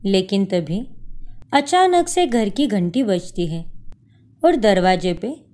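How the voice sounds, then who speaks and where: A woman narrating a family cartoon story in a measured, expressive way. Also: medium pitch.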